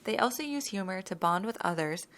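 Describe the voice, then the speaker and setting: A woman giving scripted, measured narration that explains ideas step by step. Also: medium pitch, animated, a bit bright.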